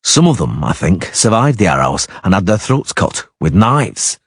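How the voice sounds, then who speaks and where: A man voicing a grim, terse monster hunter who speaks softly, in an audiobook reading. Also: low-pitched, very animated, moderate pace, husky, dry recording, clean studio sound, exaggerated voice. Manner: soft, terse.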